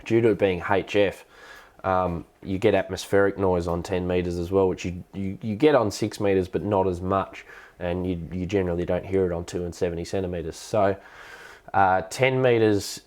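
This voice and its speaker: A man with an Australian accent and a cold, explaining things casually and haltingly as the host of a hobbyist live stream. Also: low-pitched, darkish tone. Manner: halting, hesitant delivery with repeated words. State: has a cold.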